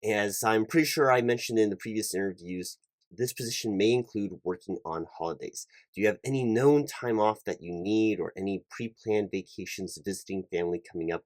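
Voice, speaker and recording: A man playing a polite, fussy, slightly flustered hiring manager in a one-sided ASMR job interview roleplay, speaking formally and haltingly and often repeating himself. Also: fairly low pitch, a little mellow, no echo, lightly acted. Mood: careful, formal. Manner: halting, repetitive, over-careful, formal.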